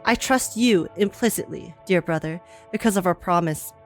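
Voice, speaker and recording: A woman's voice: fairly low pitch, moderate pace. A woman streamer playing a video game, reacting casually and reading game text aloud. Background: faint game music.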